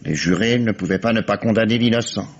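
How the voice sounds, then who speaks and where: An actor playing a shrewd, calm police commissioner with a husky voice and dry ironic authority, in a 1950s-style French radio drama. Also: male voice, on the low side, fast talker, lightly acted. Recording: dull old lo-fi radio recording.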